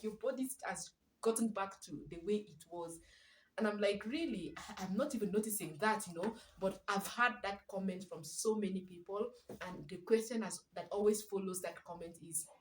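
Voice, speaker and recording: A young woman with a Nigerian English accent, chatting to camera as a parenting vlogger at home, keeping her voice soft while her baby sleeps. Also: lowish voice. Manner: warm chatty, speaks softly so the baby can sleep. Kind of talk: casual chat to camera. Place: room at home. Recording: echoey room.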